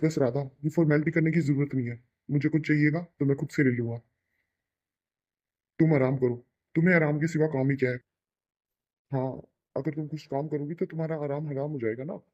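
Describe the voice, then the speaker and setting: Guy doing an annoyed young cartoon husband, slightly high voice, reproachful, muffled lo-fi sound. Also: fairly even intonation, brisk, darkish tone, lightly acted. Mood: cold, irritated. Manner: reproachful, sarcastic. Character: cold, irritated young husband, resentful and sarcastic. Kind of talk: melodramatic Hindi cartoon voice acting.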